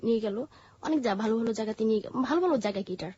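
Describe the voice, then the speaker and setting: A Bangladeshi woman telling her personal story in an interview, haltingly, often repeating and rephrasing her words. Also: a little high, lively intonation, dark tone, breathy edge, muffled recording.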